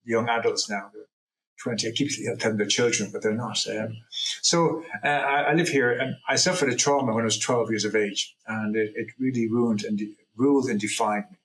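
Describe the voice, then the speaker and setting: A man's voice: medium pitch, fairly even intonation, brisk. Rambling middle-aged man, echoey room. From Ireland. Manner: rambling, self-correcting, earnest. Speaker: guest. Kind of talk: personal testimony in an interview.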